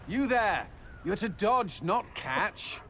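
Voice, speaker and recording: Stern, high-pitched male drill instructor. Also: sing-song, slow, sharp tone, slight background noise, exaggerated voice. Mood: stern, urgent. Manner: commanding, stern. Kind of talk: video game voice acting.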